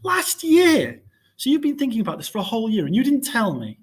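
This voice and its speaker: A man's voice: extremely high pitch, wildly swooping pitch, a little fast, pitched above the performer's own voice, exaggerated voice. A man voicing an upset, stubborn young boy in a storybook. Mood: hurt, accusing.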